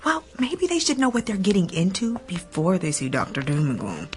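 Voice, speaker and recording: A woman's voice: lowish voice, wildly swooping pitch, fairly quick, soft background music. An actress playing a gossipy female clinic worker in a radio drama, with a wry, conspiratorial, mocking manner.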